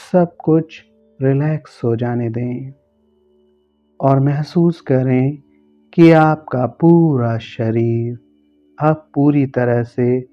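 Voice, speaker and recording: A man leading a guided relaxation as a yoga nidra guide, giving gentle instructions in short, spaced phrases. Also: medium pitch, dull audio.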